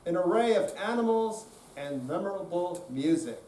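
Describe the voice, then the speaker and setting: Older male stage reader, high register, dramatic recitation, far from mic in an echoey room. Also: lively intonation, normal speed, mellow. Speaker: amateur readers theater performer. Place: room at a senior center. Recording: distant, echoey room with faint noise.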